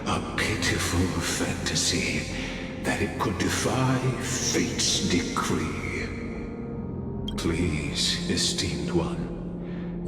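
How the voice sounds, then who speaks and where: An actor playing a sinister, courtly narrator in a fantasy video game, speaking with theatrical, ornate and mocking politeness. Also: male voice, deep voice. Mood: mocking, ominous. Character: sinister, courtly male narrator welcoming guest to doom. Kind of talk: dramatic game voice acting. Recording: cavernous long reverb, loud music.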